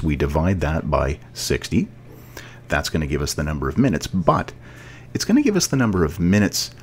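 A man with a deep voice narrates a casual screencast lesson. His intonation moves up and down freely as he explains each step. There is faint noise behind him. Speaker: programming instructor.

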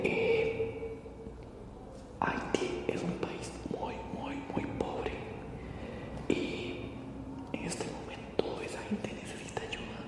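A man in his thirties whispering breathily for an ASMR video, haltingly and with soft repetitions. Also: sits high. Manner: slow, halting, repeats phrases softly. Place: small indoor room at home. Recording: very echoey, reverberant, distant-sounding processed audio.

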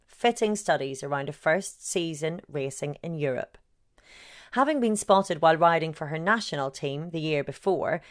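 A woman journalist reading her own long-form article aloud in a measured, sober way. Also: sits low. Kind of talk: scripted narration.

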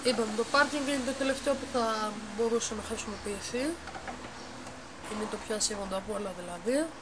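Μια γυναίκα με λίγο ψηλή φωνή ξεναγεί χαλαρά σε ένα ψηφιακό γήπεδο, κομπιάζει και διορθώνει συχνά τα λόγια της. Μιλά σε ένα σκοτεινό δωμάτιο δίπλα σε υπολογιστή. Η εγγραφή είναι από κινητό τηλέφωνο και έχει έντονο θόρυβο από πίσω. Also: female voice, a little high. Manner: halting, self-correcting, explains step by step. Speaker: game builder giving a tour. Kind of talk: casual tour of a game build. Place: dim room at home, by a computer. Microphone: phone camera filming the monitor. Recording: noisy home phone recording.

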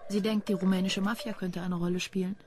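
A woman's voice: medium pitch, flat delivery, fast talker, background noise, close to an everyday voice. Terse, sardonic female investigator, acted by a woman. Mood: dry, businesslike. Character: brisk, sardonic female investigator named Katja. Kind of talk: German crime radio drama acting.

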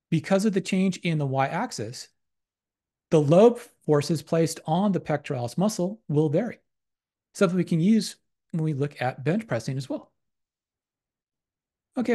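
Man, lecturer, slightly high voice, methodical, academic phrasing, slide voice-over. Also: expressive, moderate pace, long pauses, warm tone. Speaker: exercise science lecturer.

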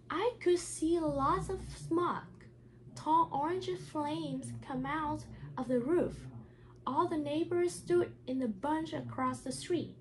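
High-voiced woman speaking slowly over noise. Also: low-quality recording. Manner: careful, halting non-native English with small grammar slips. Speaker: teacher reading a picture book aloud. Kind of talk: read-aloud of a children's storybook.

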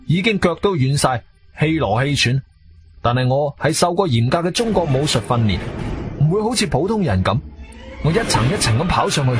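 A male actor playing an agile, intense adventurer who narrates his own radio drama in suspenseful Cantonese. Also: fairly high pitch. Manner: animated, suspenseful storytelling. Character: agile martial-arts-trained adventurer, urgent and intense. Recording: studio radio drama with music behind.

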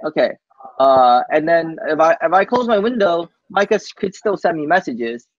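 Higher-voiced man, muffled call audio. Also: expressive, no echo. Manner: halting, informal, often tags sentences with "basically". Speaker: software developer giving a live demo. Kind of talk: casual technical demo on a team call. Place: coworking space, on a group video call. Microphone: video call microphone.

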